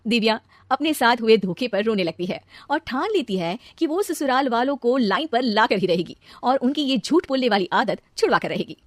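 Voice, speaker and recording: A woman's voice: on the high side, animated, moderate pace, dry recording. A woman narrator reading a scripted cartoon story.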